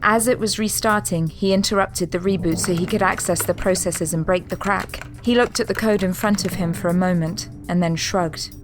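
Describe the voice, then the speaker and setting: A woman's voice: on the low side, steady tone, moderate pace, hardly any pauses, music in the background. A woman narrator reading scripted dramatic narration for an audio drama.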